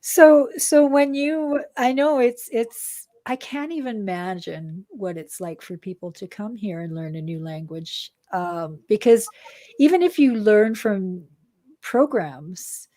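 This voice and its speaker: A woman's voice: mid-range voice, slow pace, mellow, no room sound. An American woman interviewing someone in a casual, conversational way.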